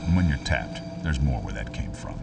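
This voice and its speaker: An actor playing a shady male drug pusher making a wheedling pitch, heard as distant game audio over music. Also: big pitch swings, normal speed, creaky, heavily acted.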